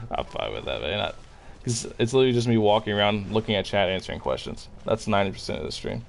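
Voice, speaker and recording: A man's voice: very animated, normal speed, creaky, pauses often, slight background noise. Laid-back man in his late twenties streaming. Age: late 20s. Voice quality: deep morning voice. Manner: laid-back, rambling, swears casually, answers chat. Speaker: game streamer. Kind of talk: casual live-stream chat while gaming.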